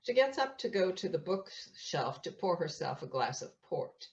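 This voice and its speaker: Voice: mid-range voice, very animated, moderate pace, muffled recording. A narrator reading out scripted stage directions for an audio play in a plain, descriptive way.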